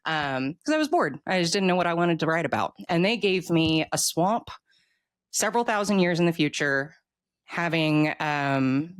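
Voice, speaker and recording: An American woman in her forties talking casually as an interview guest, telling stories in an animated way. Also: low voice, normal speed, dry recording. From United States. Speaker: author guest, military veteran.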